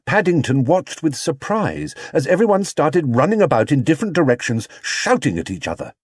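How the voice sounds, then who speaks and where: A man narrating a children's story as an audiobook reader, in an expressive scripted style. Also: on the high side, normal speed, husky, no echo, studio quality.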